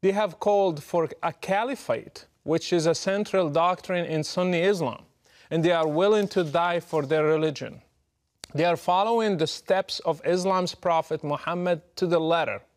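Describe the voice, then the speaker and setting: A middle-aged man from Morocco, giving a prepared, deliberate and emphatic address straight to the listener. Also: a little high. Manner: measured, emphatic, deliberate delivery. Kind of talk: prepared direct address to camera.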